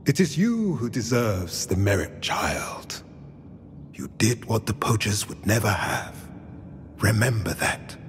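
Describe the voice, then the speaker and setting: A low, husky centaur sage for a fantasy game. He speaks slowly and formally, with wide swings of intonation, solemn and cryptic yet protective. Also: big pitch swings, a little hiss or hum. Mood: reassuring. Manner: formal, measured, archaic phrasing. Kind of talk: video game voice acting.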